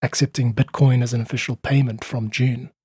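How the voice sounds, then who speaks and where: A man speaking as an interview guest, explaining his views in an articulate, thoughtful way with a husky voice. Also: mid-range voice, level tone, fairly quick, a little mellow, no room sound, clean studio sound. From South Africa. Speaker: podcast guest, independent musician.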